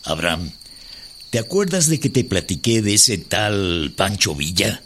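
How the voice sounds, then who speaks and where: An actor with a Mexican accent playing a persuasive, pragmatic revolutionary conspirator in a radio drama. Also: male voice, fairly low pitch, expressive, unhurried, fairly bright tone, faint music behind. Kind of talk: Spanish-language radio drama dialogue.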